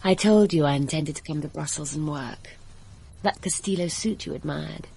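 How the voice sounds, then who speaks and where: An actress in a radio drama playing a cool, frank, worldly young woman with a husky voice. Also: deep voice, moderate pace, warm tone, no echo, heavily acted. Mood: frank, reminiscing.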